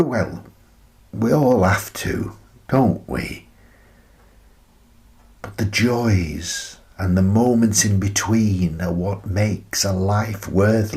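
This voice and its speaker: An actor playing a bereaved, tender old widower in a wistful, halting, reflective radio play monologue. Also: male voice, low-pitched, a little mellow. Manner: slow, halting, reflective delivery.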